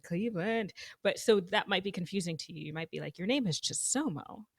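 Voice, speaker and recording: A young adult woman from Zambia co-hosting a casual podcast over a video call, expressive and playful, with plenty of fillers. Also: lowish voice, brisk, slightly rough, no room sound, studio quality. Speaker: podcast co-host.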